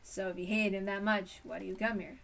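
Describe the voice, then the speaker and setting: A woman's voice: medium pitch, fairly even intonation, a little fast, vocal fry, recorded from a distance, voice pushed down, close to an everyday voice. A woman voicing a folksy, stubborn older male detective in a story read-aloud. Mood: puzzled, probing.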